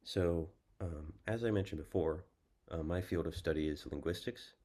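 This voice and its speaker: Young american guy presenting at a student symposium, super low voice, measured, lots of ums. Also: warm tone. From United States. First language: English. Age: young adult. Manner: measured, thoughtful, with frequent ums.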